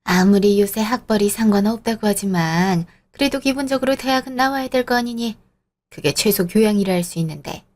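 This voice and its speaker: Audio drama role: woman voicing a haughty professor mother-in-law in her sixties, lecturing, raised pitch. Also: lightly acted. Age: 60s. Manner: sneering, lecturing, condescending.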